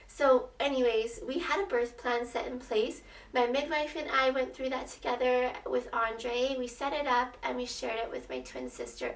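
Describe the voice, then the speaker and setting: Young woman, casual vlog storyteller, faint rasp, chatty and expressive, roomy echoing sound with a distant microphone. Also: a little high. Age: young adult. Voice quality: slightly raspy. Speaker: vlogger telling her birth story. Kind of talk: casual personal storytelling to camera.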